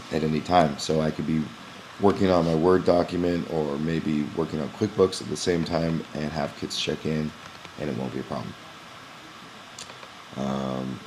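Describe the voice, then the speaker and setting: A young man who runs a gym, walking through a software screen recording in a casual, explanatory way with frequent "um" pauses, recorded on a webcam at his desk. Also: extremely low pitch, slight background noise, rough recording. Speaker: gym owner demonstrating software. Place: small indoor room at a computer. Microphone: webcam in front of the face.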